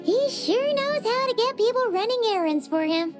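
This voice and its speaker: A woman's voice: animated, normal speed, loud music behind the voice. A woman voicing a tiny, chirpy female fairy companion who is blunt and teasing in a game cutscene. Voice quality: squeaky, childlike.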